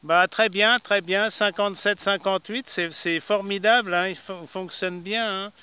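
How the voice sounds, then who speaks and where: A man's voice: sits high, fairly even intonation, normal speed, bright, forceful tone. A man chatting hesitantly in a casual amateur radio contact, using call signs and frequent tag words. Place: outdoors in a city park. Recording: clearly noisy outdoor recording with clicks.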